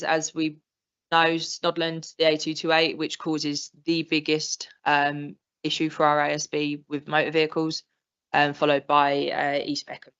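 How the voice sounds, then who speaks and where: A woman's voice: low voice, fairly bright tone. An English woman, a police inspector, giving an informal briefing at a council meeting, with plenty of ums. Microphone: meeting room microphone. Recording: dull lo-fi council livestream audio.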